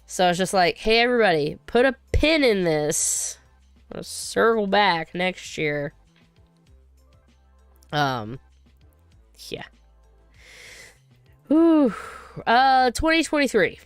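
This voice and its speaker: A woman's voice: medium pitch, sing-song, slow, pauses often, clear, resonant tone, no room sound, soft background music. A woman hosting a casual livestream, rambling and chatty as she reads viewer comments, her voice still recovering from being sick. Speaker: livestream host.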